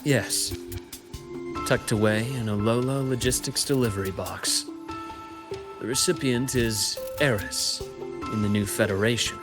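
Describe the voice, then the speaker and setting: Low-voiced, composed male investigator played by an actor. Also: a little slow, long pauses, natural voice. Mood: grave, measured. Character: composed, cerebral male investigator nursing quiet old hatred. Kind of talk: scripted game story dialogue with exposition. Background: background music.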